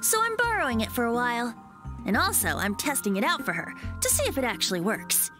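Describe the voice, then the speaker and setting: A woman's voice: fairly high pitch, sing-song, fairly quick, over music. A woman voicing a playful, boyish young male bard in a video game, breezy and teasing with theatrical flourishes. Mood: playful, explaining.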